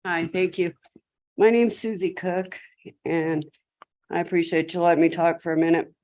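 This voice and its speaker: A woman resident addressing a city council, measured and deliberate. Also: fairly low pitch, little pitch movement, darkish tone. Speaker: resident in public comment. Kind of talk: public comment statement.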